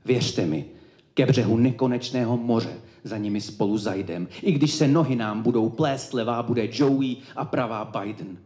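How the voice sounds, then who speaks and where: A man performing slam poetry in a declamatory, emphatic way, with deliberate pauses between his phrases. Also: medium pitch, darkish tone. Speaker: slam poet. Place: indoor cultural evening event, live before an audience. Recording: echoey live room.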